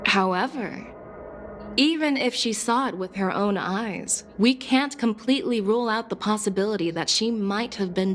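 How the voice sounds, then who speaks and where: An actress playing a shrewd, confident female general in a video game, questioning formally and deliberately. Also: mid-range voice, a bit bright. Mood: probing, composed. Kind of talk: video game story dialogue. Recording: background music under the voice.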